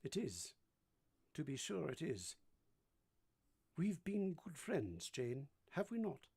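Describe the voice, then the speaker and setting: A man playing a brooding, commanding gentleman who is both teasing and ardent, in a theatrical vintage-style radio drama. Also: a little high, very animated, slow, vocal fry, breathy edge, full character voice. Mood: wistful, tender.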